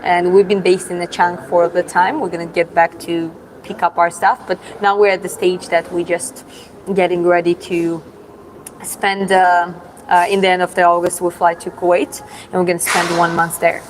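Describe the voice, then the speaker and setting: A woman's voice: on the low side, little pitch movement, normal speed, slight background noise, rough recording. Georgian-accented woman, hesitant livestream chat. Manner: hesitant, many fillers and restarts. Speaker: livestream host. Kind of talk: casual livestream chat reading comments.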